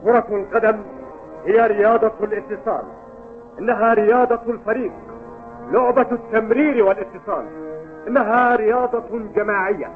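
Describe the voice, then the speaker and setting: A man's voice: sits high, steady tone, a little fast, faint music behind, close to an everyday voice. A man playing a stubborn football coach in an Arabic cartoon dub, lecturing in an insistent way. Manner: didactic, insistent. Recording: tinny, phone-like old TV dub audio.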